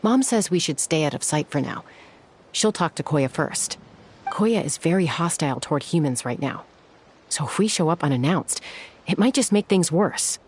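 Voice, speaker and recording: A woman voicing a serious, composed young gunslinger in an anime game, measured and earnest. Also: low-pitched, expressive, darkish tone, slight background noise.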